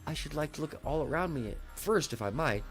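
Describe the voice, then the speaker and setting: A man doing a soft, polite little girl. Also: a little high, big pitch swings, a little fast, faint music behind, pitched above the performer's own voice. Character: polite, gentle young girl, puzzled.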